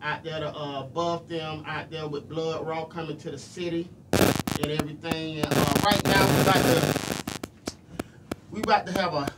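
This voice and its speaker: A man's voice: a little high, fairly even intonation, moderate pace. A middle-aged man hosts a casual live radio show, talking colloquially and earnestly and repeating himself. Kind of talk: casual live radio talk with shout-outs. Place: small radio studio, indoors. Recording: noisy, distant room microphone.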